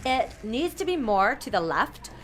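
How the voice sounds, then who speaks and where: Snobbish female pageant contestant, played by an actress, sneering, very animated pitch, slow delivery, audio comedy. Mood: disdainful. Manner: haughty, sneering, peppers talk with "like". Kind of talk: comedic audio drama acting.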